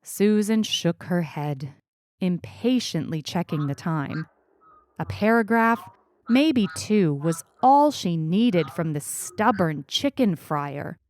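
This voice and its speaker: A woman's voice: fairly low pitch, expressive, takes it slowly, a little mellow. A woman narrating a scripted short story as its reader. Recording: music under the reading.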